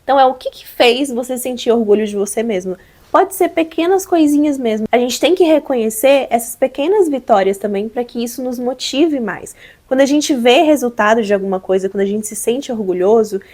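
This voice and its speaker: Hoarse, congested Brazilian woman vlogging. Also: fairly high pitch, expressive, a little fast, warm tone. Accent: Brazilian Portuguese. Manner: chatty, explanatory, addresses viewers as gente. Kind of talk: casual advice vlog.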